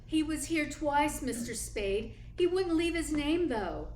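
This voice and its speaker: A woman's voice: high voice, expressive, measured pace, a little mellow, faint music behind. A woman playing a detective's efficient, matter-of-fact secretary in a radio play. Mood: efficient, composed. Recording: roomy echo, faint noise.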